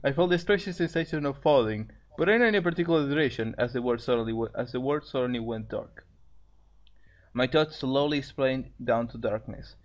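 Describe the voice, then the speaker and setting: A man's voice: medium pitch, fairly quick. A man co-hosting a story show, reading fan fiction aloud in casual amateur narration and stumbling over words.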